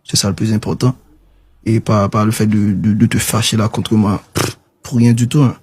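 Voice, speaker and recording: A man's voice: lowish voice, fairly even intonation, normal speed, darkish tone, breathy edge. A man in an intimate casual conversation, speaking in an affectionate, coaxing way.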